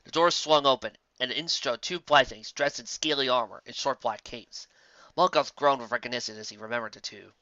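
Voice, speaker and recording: A man's voice: medium pitch, fairly quick, bright, forceful tone, dry recording, dull audio. A man reading a fantasy story aloud as a dramatic, theatrical and emphatic narrator. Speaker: narrator of fan fiction audiobook.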